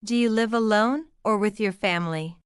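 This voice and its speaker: A woman reading one side of a scripted English lesson dialogue, speaking in careful, clearly separated simple sentences. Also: mid-range voice, moderate pace, dry recording, studio quality. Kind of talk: slow scripted practice dialogue.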